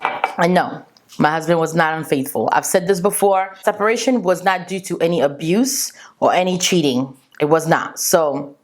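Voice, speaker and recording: Young woman, French native, sassy and chatty, slightly low voice. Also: normal speed. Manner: sassy, chatty, calls viewers girl and honey. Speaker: beauty vlogger. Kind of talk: casual chat answering viewer assumptions.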